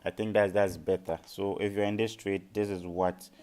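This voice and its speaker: A man's voice: low voice, little pitch movement, normal speed, a little mellow. A man hosting a live trading lesson, repeating his phrases and often checking in with his listeners. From Ghana. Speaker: forex trading educator and live stream host. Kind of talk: live stream teaching, market analysis.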